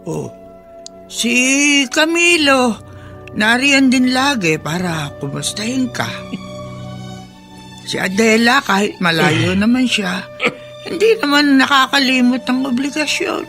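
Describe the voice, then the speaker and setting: Slow, animated older Filipino woman. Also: on the high side, faint music behind, exaggerated voice. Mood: concerned, reassuring. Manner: coaxing, inquisitive, unhurried. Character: concerned, chatty elderly female friend. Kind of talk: Filipino radio drama acting.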